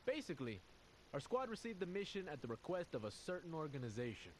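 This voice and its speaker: An earnest military officer records a game audio log in a fairly high voice with very lively intonation. He sounds troubled and confiding, speaking deliberately yet conversationally. There is clear noise behind the voice. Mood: measured, suspicious.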